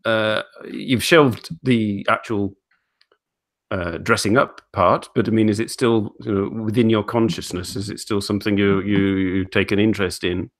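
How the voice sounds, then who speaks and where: Male interview host, low register, conversational, feels his way haltingly through questions. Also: steady tone. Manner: hesitant, halting, circling questions. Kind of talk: casual interview chat.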